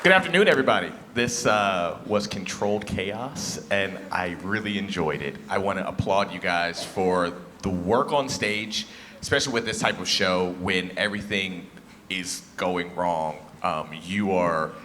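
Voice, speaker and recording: A man's voice: sits low, measured pace, fairly bright tone. A man acting as a theatre judge gives informal, encouraging critique to young performers in an emphatic, conversational way. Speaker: theatre festival judge, former actor. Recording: reverberant hall, faint noise.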